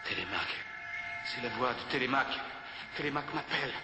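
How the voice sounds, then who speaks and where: A man's voice: mid-range voice, expressive, fairly quick, close to an everyday voice. A man voicing a heroic space captain and father who speaks urgently and with resolve. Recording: echoey, music behind, off-mic.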